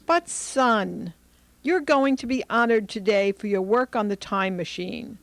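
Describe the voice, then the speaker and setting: A woman's voice: medium pitch, a little slow, dry recording, lightly acted. A woman playing a doting, self-sacrificing elderly mother in an old-time radio drama. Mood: fussing, insistent. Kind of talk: Golden Age radio drama acting.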